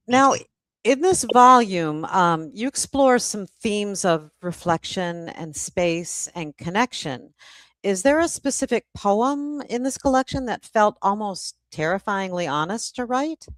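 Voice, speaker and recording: A woman's voice: mid-range voice, animated, slow, a bit bright, no echo. A woman hosting a live stream in a casual, slightly hesitant way, repeating words as she thinks. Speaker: host of live book event.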